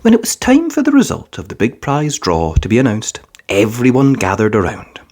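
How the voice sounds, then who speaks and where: A woman narrating a children's storybook in an expressive, deliberate read-aloud style. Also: extremely low pitch, slightly rough.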